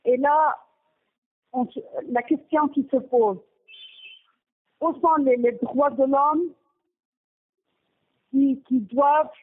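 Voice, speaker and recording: A woman from Gaza, speaking haltingly with many restarts, giving a personal testimony and partly reading her own text. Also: high-pitched, fairly even intonation. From Gaza, Palestine. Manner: halting, with frequent restarts and pauses. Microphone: telephone. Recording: tinny phone line.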